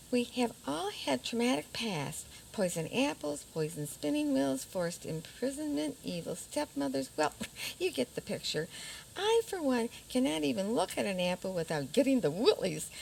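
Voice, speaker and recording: An actress playing a prim, indignant young princess making a formal, assertive case in community radio theater. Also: female voice, sits high, creaky, heavily acted, poor audio. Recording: faint background noise.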